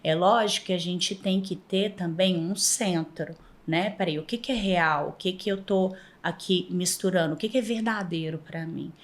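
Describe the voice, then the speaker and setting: A woman from Minas Gerais with a Mineiro accent, a podcast guest telling lively stories and often laughing. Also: lowish voice, normal speed. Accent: Mineiro Brazilian Portuguese. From Minas Gerais, Brazil. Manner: animated, laughs often, acts out past dialogue. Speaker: podcast guest, former flight attendant. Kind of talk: casual interview storytelling.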